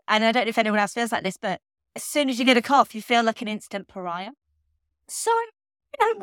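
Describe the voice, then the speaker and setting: A woman hosting a podcast alone, talking in a chatty, enthusiastic way while still slightly hoarse from laryngitis. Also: high voice, fairly bright tone, no room sound, studio quality. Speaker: podcast host. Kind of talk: solo podcast monologue.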